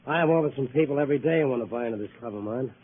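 Fast, curt radio-drama villain played by a man. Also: medium pitch, breathy edge, close to an everyday voice. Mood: cool, dismissive. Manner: curt, unconcerned. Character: cool, curt male nightclub owner villain. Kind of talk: 1940s radio drama acting. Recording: noisy narrow-band old-time radio recording.

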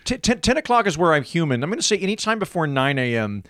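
A man from Oklahoma, a guest in a casual interview, talking with dry, deadpan humor and rambling restarts. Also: a little high, fast, no echo.

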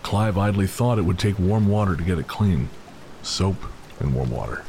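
A man's voice: low voice, expressive, moderate pace, gravelly, darkish tone, a little hiss or hum. A man giving scripted, dramatic narration for an audio story. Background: music, rain and storm sound effects.

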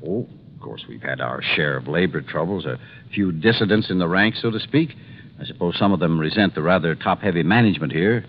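Troubled male business boss, formal, low but lively swooping delivery, old radio drama. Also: fairly quick. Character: troubled, formal male factory company president. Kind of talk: 1950s radio drama acting. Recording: dull, faintly noisy 1950s radio recording.

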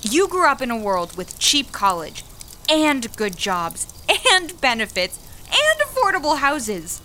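A woman's voice: high-pitched, sing-song, a little slow, bright, forceful tone, a little hiss or hum, exaggerated voice. An actress playing an earnest young American woman labor organizer in an audio drama, impassioned, idealistic and persuasive. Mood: outraged. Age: young adult.

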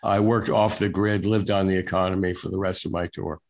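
An older man being interviewed as a guest, telling stories in a relaxed, wry way with lots of filler words. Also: deep voice, fairly quick. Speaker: guest, national security reporter. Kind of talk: casual storytelling interview.

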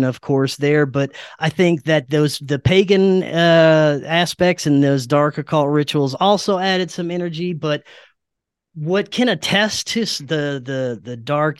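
A man's voice: a little high, clean tone, no room sound, clean studio sound. A man from Louisiana talking casually as a guest on a podcast.

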